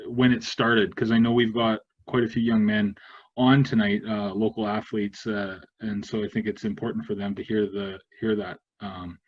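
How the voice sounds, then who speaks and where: Man, slightly low voice, panel moderator, informal, hesitant, slightly dull sound. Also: flat delivery, moderate pace.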